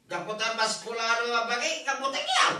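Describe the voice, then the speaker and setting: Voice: medium pitch, wildly swooping pitch, a little fast, sharp tone, full character voice. An actor playing a boastful, quarrelsome drunken husband in an Ilocano comedy audio drama, blustering and exclaiming. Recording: echoey room.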